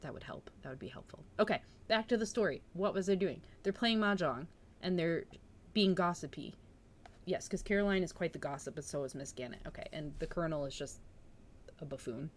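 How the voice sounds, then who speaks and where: A woman streamer chatting casually and reading a novel aloud, with an excitable, bubbly manner. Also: low voice, a little fast. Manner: excitable, bubbly, breaking into laughter. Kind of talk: casual livestream chat and book narration.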